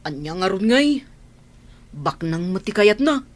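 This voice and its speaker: A woman's voice: medium pitch, big pitch swings, a little slow. A woman acting a nosy, outspoken young friend in Ilocano radio drama, probing and sceptical, with an Ilocano accent. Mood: worried, gossipy. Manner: probing, questioning.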